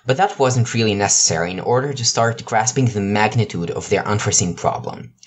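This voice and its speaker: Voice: medium pitch, brisk. An amateur narrator reading a scripted piece of fiction. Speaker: narrator, amateur podfic reader.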